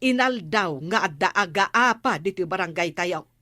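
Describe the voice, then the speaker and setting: A woman's voice: lowish voice, animated, normal speed, pushed and bright, no echo, clean studio sound. A woman acting a scolding, sarcastic village captain's wife in a radio comedy drama, with an Ilocano accent.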